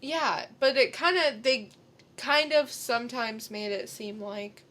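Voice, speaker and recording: A woman's voice: on the high side, slow pace, pushed and bright. A woman co-hosting a podcast, chatting casually as she reviews films. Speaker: podcast co-host. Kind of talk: casual film review chat.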